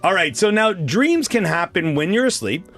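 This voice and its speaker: Animated Canadian man hosting kids' radio over music. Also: high-pitched, moderate pace. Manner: casual, encouraging, talks to child listeners. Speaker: radio show host. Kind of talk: children's radio show chat and quiz. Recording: music playing behind the voice.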